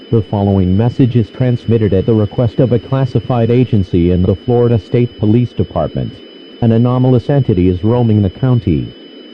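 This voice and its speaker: A man reading a formal scripted emergency broadcast announcement in a stiff, official manner. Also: medium pitch. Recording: dull lo-fi radio-style audio, noisy.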